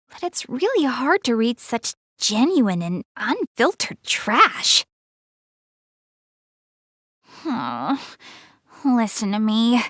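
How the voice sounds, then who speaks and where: Casting: proud young anime game goddess, easily embarrassed, high voice, swooping intonation. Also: female voice, dry recording, studio quality, exaggerated voice. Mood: flustered, embarrassed. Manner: expressive, easily embarrassed, hesitant then insistent. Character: flustered, proud young goddess girl practicing voice acting. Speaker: video game character. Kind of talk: English anime game voice acting.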